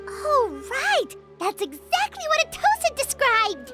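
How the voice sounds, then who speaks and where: Squeaky, excitable little companion creature. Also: female voice, unhurried, heavily acted. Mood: excited realisation. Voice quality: squeaky, childlike. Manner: exclamatory, eager. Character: small excitable childlike female floating companion creature. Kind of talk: video game voice acting. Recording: music behind the voice.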